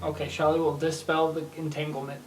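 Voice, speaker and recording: A woman's voice: deep voice, flat delivery, brisk, far from the mic, music in the background. Woman player in a roomy space. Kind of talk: tabletop game play.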